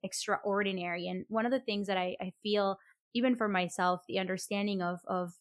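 A woman's voice: mid-range voice, fairly even intonation, a little fast, no echo. Colombian-born woman hosting a chat, reflective, lots of um and you know, dead dry mic. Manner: warm, reflective, many fillers and restarts. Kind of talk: conversational interview.

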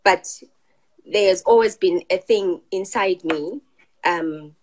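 A woman in her forties from Zimbabwe, relaxed and easy to laugh, telling her story as an interview guest. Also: on the low side, slow, low-quality recording. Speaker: guest, company CEO. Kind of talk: conversational interview answers.

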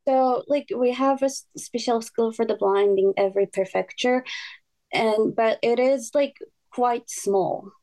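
A woman's voice: fairly high pitch, measured pace, mellow, poor audio. A woman in her twenties from Japan, speaking English with a Japanese accent as a podcast guest, hesitant and searching for words. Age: 20s. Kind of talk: casual podcast interview.

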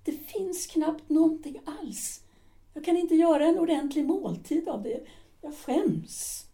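An actress playing an embittered middle-aged wife and former actress, trapped in an unhappy marriage, in an audio drama. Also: female voice, high-pitched, expressive, warm tone. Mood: despairing. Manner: bitter, despairing. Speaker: actor.

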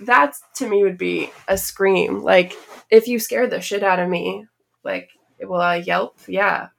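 A woman's voice: mid-range voice, steady tone, measured pace, vocal fry, fairly bright tone. A woman co-hosting a podcast in casual, informal chat, with loose phrasing sprinkled with "like". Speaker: podcast co-host.

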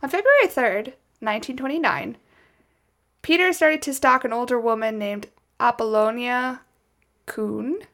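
Chatty woman with a creaky voice. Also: on the high side, unhurried, a bit bright. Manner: chatty, wry asides. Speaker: podcast host. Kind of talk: casual true crime storytelling from notes.

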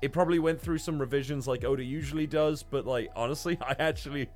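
A man chats as a podcast co-host in a mid-pitched voice, with faint music underneath. He recaps things in a loose, rambling, hesitant way and swears freely. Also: fast talker. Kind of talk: casual manga recap discussion.

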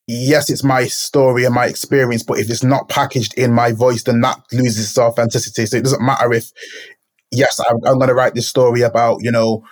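A man's voice: mid-range voice, flat delivery, brisk. A man, talking reflectively and searching thoughtfully for his words. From Manchester, England. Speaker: poet, guest. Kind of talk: reflective conversation.

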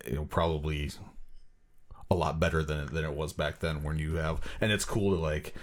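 A man's voice: rumbling low, fairly even intonation, moderate pace. A man co-hosting a podcast, chatting casually about films in a loose, rambling way full of "like". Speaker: podcast co-host. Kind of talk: casual film discussion.

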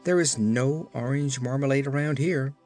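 A man's voice: mid-range voice, normal speed, a little mellow, faint music behind, close to an everyday voice. A man voicing an earnest, practical living scarecrow in a storybook, with a plucky, matter-of-fact manner. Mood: plain, corrective.

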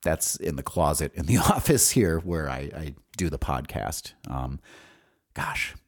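An American man hosting a podcast, telling personal stories in a casual, halting, rambling monologue with some casual swearing. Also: very deep voice, animated. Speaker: podcast host.

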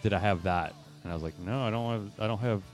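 Guy with a lowish voice on a podcast, halting casual talk, faint music playing. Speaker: podcast co-host. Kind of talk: casual chat.

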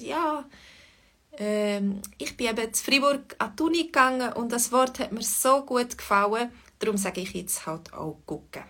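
Woman, Swiss German dialect mix, casual teacher, thoughtful pauses. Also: fairly high pitch, fairly bright tone. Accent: Swiss German, Bernese-Lucerne mix. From Switzerland. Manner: thoughtful, many pauses and fillers. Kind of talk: relaxed spoken lesson.

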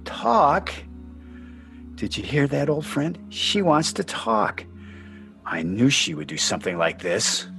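An actor playing an aggrieved, sentimental ex-husband and sports fan in a radio play, speaking plaintively. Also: male voice, mid-range voice, animated, slow, vocal fry, a bit bright, faint music behind, full character voice. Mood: indignant.

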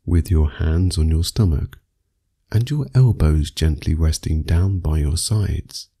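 A man guides a sleep meditation in a low, husky voice. He reads very slowly and soothingly, leaving long pauses between measured phrases. Music plays behind him. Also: dark tone.

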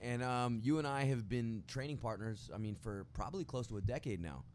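A man hosting a casual podcast interview, speaking haltingly and repeating words as he goes. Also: mid-range voice, darkish tone. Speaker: podcast host. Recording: clear background noise.

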